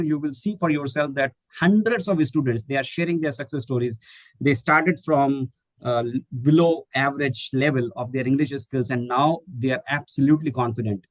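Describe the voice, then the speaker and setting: A man's voice: medium pitch, no echo, low-quality recording. Middle-aged guy from india, hindi speaker, lots of uhs and restarts, dry close sound. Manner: hesitant, many fillers and restarts. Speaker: guest speaker, English teacher trainer. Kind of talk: online webinar Q&A.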